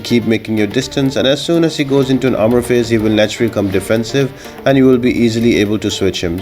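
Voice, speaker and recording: A man's voice: fairly low pitch, darkish tone. A man giving a casual, step-by-step video game guide as the host of a gaming channel. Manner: instructional, step-by-step explaining.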